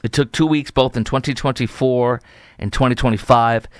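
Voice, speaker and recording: A man's voice: mid-range voice, fairly even intonation, moderate pace, smooth, no room sound, clean studio sound. A man hosting a political talk show, giving emphatic, indignant, rambling commentary.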